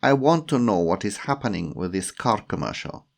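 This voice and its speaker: A glamorous Russian woman from an audiobook, played by a man. She is haughty and quick to anger. Her accented speech is demanding and indignant, with lively swings in pitch. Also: creaky, heavily acted.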